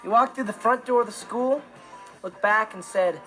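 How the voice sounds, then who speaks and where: A man's voice: high-pitched, moderate pace, loud music behind the voice, natural voice. An actor playing a cocky, mischievous, self-centred teenage boy telling slangy stories in a sitcom monologue. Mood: smug, offhand. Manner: animated, offhand slangy storytelling.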